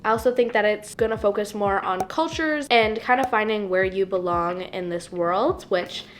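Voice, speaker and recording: A young woman in her twenties, a little raspy from being sick, chatting in a bubbly, gushing way as she shows off a book haul. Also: mid-range voice, moderate pace, a little hiss or hum. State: raspy from recent illness. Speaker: book vlogger. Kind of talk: casual book haul vlog.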